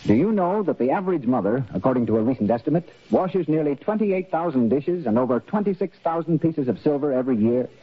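A man's voice: fairly high pitch, expressive, fast talker, hardly any pauses, slightly rough. A man announcing from a script in 1930s radio style. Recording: muffled 1938 radio broadcast.

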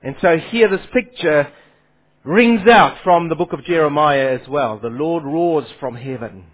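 A man's voice: on the high side, a little slow. A man preaching a Bible sermon, his Afrikaans, who poses questions to his listeners and then answers them. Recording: phone-like lo-fi sound.

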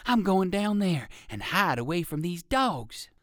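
A man voicing a polite, fretful old gentleman rabbit in a storybook, his pitch pushed up. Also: sing-song, measured pace, dry recording, clean studio sound, heavily acted. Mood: relieved.

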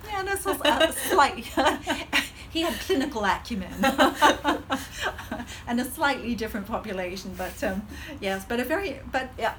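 A woman's voice: high voice, animated, unhurried, a bit bright, slight background noise. A middle-aged woman surgeon with a Hong Kong colonial English accent, talking as a podcast guest with many ums and frequent laughter. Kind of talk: podcast interview.

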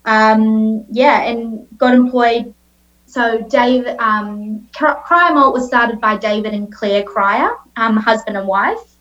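A woman's voice: a little high, slow pace, fairly bright tone. A woman in her late twenties with a New Zealand accent, a guest chatting casually about her career with plenty of ums and restarts. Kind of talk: casual interview answers. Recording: dull lo-fi sound.

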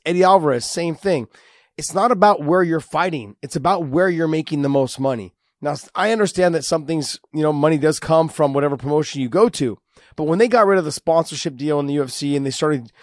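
A man's voice: on the high side, no room sound, studio quality. A man in his forties, a podcast co-host and former fighter, talking casually and rambling, joking and laughing often. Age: 40s.

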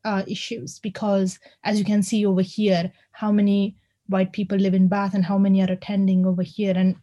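A woman with an Indian accent talks haltingly at a community discussion, with frequent ums and restarts. Her voice is mellow and soft-edged, and her pitch sits in a middling range. She speaks as a university lecturer sharing her thoughts. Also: completely flat delivery, lo-fi sound. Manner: hesitant, many fillers and restarts. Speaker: university lecturer, audience member. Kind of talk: unscripted remarks at a community discussion.

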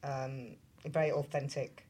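A woman on a talk show panel, talking casually about personal things and halting as she thinks aloud. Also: extremely low pitch, steady tone, a crowd in the background.

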